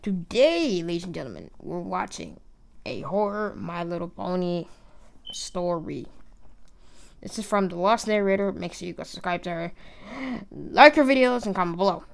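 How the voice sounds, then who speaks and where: Brief: woman reactor, gravelly fry, lively swings in pitch, offhand and blunt. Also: moderate pace. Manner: casual, blunt, joking. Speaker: reaction video host. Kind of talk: casual reaction commentary.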